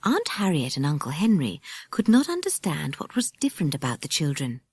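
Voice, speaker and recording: Lively lower-pitched British woman reading a story. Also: fairly quick, slightly rough, no echo. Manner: expressive, animated storytelling. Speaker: audiobook narrator. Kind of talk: scripted children's storybook narration.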